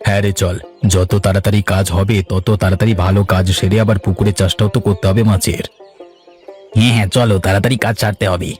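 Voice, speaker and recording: A man's voice: on the low side, fairly even intonation, quick pace, darkish tone, natural voice. A man playing a practical village farmer, one of several brothers, in cartoon dialogue. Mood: busy, willing. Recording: music under the voice. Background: instrumental music.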